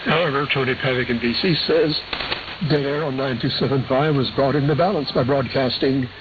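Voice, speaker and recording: A man presenting a radio programme, reading scripted news and listener reports in a measured, matter-of-fact way over shortwave radio. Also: medium pitch, fairly even intonation. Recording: hissy, dull AM shortwave radio reception.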